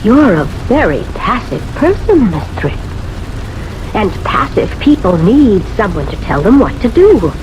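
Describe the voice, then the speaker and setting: A woman's voice: mid-range voice, very animated, measured pace, dull audio, over music. An actress playing a bossy, sly, smugly cruel woman who gives orders with condescension in an old-time radio drama. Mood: smug, controlling. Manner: commanding, condescending.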